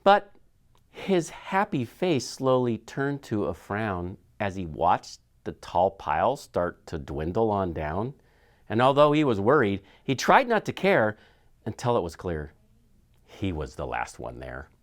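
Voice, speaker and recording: A man's voice: lowish voice, wildly swooping pitch, measured pace. A man reading a children's picture book aloud expressively, with dramatic shifts in his storytelling. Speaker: school superintendent reading aloud.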